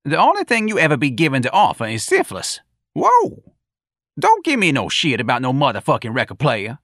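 A man's voice: mid-range voice, huge pitch swings, brisk, fairly bright tone, no echo, studio quality, voice pushed up, exaggerated voice. A man voicing a streetwise, defiant and fed-up male bar janitor for an audiobook, with slangy speech and whooping exclamations. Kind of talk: audiobook character voice.

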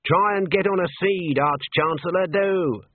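A man performs a fussy older wizard of slight build for a comic fantasy audiobook. He is earnest and fretful, his pitch lifted, the sound narrow like a small radio. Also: a little slow, no echo. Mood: pleading.

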